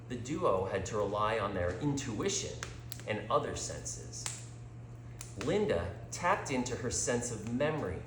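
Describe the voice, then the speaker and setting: A man reading a story aloud as a storyteller, with deliberate, theatrical dramatic delivery. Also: medium pitch, background noise. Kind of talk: scripted story narration, dramatic read-aloud. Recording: roomy, echoing sound.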